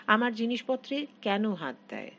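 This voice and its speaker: A woman's voice: fairly high pitch, big pitch swings, normal speed, a bit bright, muffled recording, slight background noise. A woman reading the part of a curt, irritable middle-aged businessman husband in a short story. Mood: irritated. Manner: curt, demanding.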